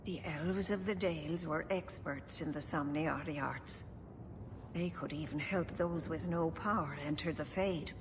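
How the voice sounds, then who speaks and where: An actress playing a wise, grave elven keeper in a video game, formal and measured. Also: female voice, deep voice. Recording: noisy game ambience behind.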